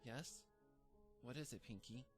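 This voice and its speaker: A man voicing a gentle, caring female unicorn pony who talks in a soft, pleading, reassuring way. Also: mid-range voice, a little slow, soft background music, lightly acted.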